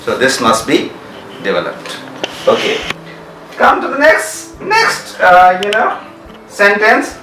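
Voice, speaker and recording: A man's voice: high voice, wildly swooping pitch, takes it slowly, long pauses, echoey room, far from the mic, over music, low-quality recording. A man with a Pakistani English accent teaching a grammar lesson, repeating points and checking understanding with questions. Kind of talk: classroom grammar lecture.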